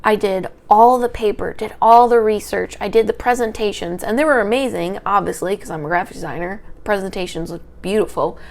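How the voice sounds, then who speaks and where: A woman's voice: medium pitch, fairly quick, hardly any pauses, darkish tone. A young woman, a history student, talking through an unedited personal vlog into a small microphone. She has a small, light voice and rambles, tripping over her words. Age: young adult. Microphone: small handheld microphone.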